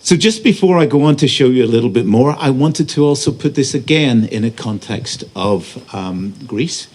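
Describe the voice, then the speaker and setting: A man giving a public lecture as an invited speaker, presenting slides in a halting, pausing delivery. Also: medium pitch, lively intonation, a little mellow. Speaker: invited conference speaker, robotics researcher. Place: live talk at a festival venue. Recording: noisy live event recording.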